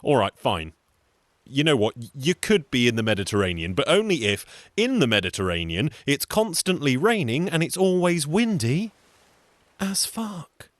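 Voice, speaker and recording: A man's voice: medium pitch, big pitch swings, moderate pace, no room sound. A man telling a comic folk tale in a theatrical, conversational way, with wry, sardonic asides. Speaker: storyteller, solo show performer.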